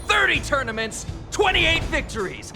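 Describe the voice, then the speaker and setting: An actress playing an excitable, theatrical fight-club announcer in a video game. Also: female voice, high voice, music in the background, heavily acted. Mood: hyped. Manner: theatrical, drawn-out shouting.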